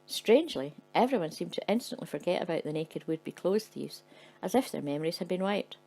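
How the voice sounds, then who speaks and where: A woman's voice: lowish voice, animated, fairly quick, gravelly, dark tone, husky, soft background music. A woman narrating a story in a dry, matter-of-fact way. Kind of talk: scripted narration.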